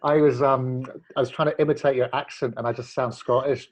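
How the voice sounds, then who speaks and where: A man's voice: mid-range voice, fairly even intonation, brisk, low-quality recording. A man in his forties, a guest telling his story earnestly and reflectively in a casual chat. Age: 40s. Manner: earnest, reflective, many fillers and restarts. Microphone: video call.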